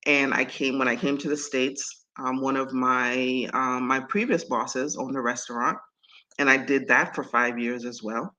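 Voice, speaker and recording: A middle-aged woman from Antigua with a deep voice, talking as a guest in an interview in an animated, emphatic way. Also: a little slow, poor audio. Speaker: guest speaker and coach. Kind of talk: conversational interview.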